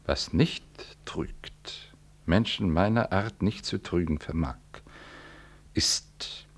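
Very deep, creaky, solemn priest. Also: male voice, in a whisper, full character voice. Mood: gently unyielding. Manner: deliberate, formal, weighty pauses. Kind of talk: 1960s German radio play acting.

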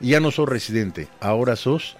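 A man's voice: medium pitch, lively intonation, moderate pace, faint music behind. A man, a radio DJ guest telling rambling stories about his life and acting out the people in them. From Guatemala. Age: 60s. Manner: rambling anecdotal storyteller, voices quoted people. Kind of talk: casual radio interview storytelling.

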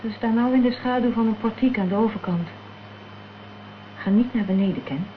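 A woman's voice: a little high, normal speed, natural voice. A woman acting a concerned, questioning companion in a Dutch radio crime drama. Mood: worried, urgent. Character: worried, inquisitive female companion in a crime thriller. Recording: dull, noisy old radio play recording.

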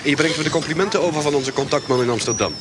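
Radio play swindler's partner, male, acted: easygoing, conversational, clear background noise and birds. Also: on the high side, very fast, natural voice. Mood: casual. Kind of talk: radio drama acting. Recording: noisy harbour ambience with birds.

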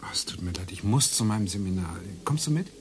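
An actor playing a hesitant, ruminative academic in German radio play dialogue. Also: male voice, fairly low pitch, lively intonation, fast talker, close to an everyday voice. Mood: troubled. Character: musing, hesitant male academic who studies Strindberg.

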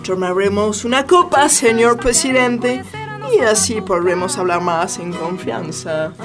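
A woman's voice: medium pitch, a little slow, loud music behind the voice, close to an everyday voice. A woman playing a scheming, absent-minded American diplomat in a satirical radio play, dropping in English interjections. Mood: persuasive. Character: smooth-talking, scheming American embassy mineral attaché, absent-minded.

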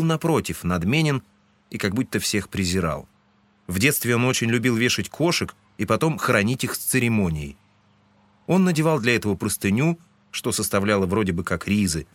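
A man's voice: lowish voice, expressive, a little fast, a bit bright, dry recording. A man narrating a literary audio drama in a scripted, dramatic storytelling style.

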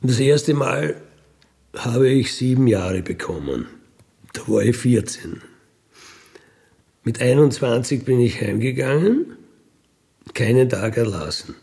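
Slow, gravelly Austrian man in his fifties. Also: medium pitch, animated, dark tone. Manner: colloquial, matter-of-fact, often tags statements with a questioning "ne?". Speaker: career criminal telling his life story. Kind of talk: unscripted personal storytelling.

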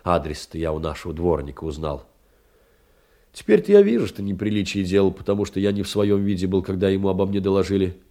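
An actor playing a humble, downtrodden poor man in an audio play, doting and emotional, confessing in halting, self-abasing phrases. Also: sits low, expressive, warm tone. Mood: agitated, wounded.

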